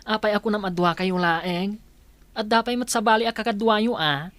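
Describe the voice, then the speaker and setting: A woman's voice: mid-range voice, moderate pace, a bit bright, dry recording, natural voice. A woman playing a dramatic, outspoken friend in an audio drama, with a theatrical, exclamatory way of talking. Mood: casual, friendly. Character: dramatic, outspoken friend who dotes on a baby. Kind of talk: Ilocano audio drama acting.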